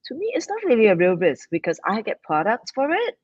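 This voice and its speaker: An upbeat, persuasive woman from Singapore talks in a live online interview. Her voice sits at an ordinary pitch, and the audio is dull, lo-fi and completely dry. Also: wildly swooping pitch, brisk, darkish tone, husky, no room sound. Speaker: business promoter.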